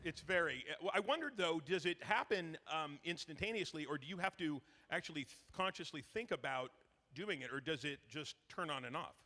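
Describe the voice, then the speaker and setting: A man's voice: fairly high pitch, animated, fairly quick, sharp tone. A man moderating a convention panel, chatty and joking with many fillers. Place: large convention hall. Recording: reverberant hall with faint noise.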